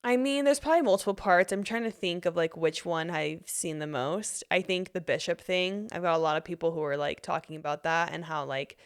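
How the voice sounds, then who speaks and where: A woman's voice: on the low side, a little fast, hardly any pauses, clear, resonant tone. Chatty woman slightly losing her voice. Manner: relaxed, many "like" fillers, trailing off. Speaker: podcast guest, author. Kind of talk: casual interview chat.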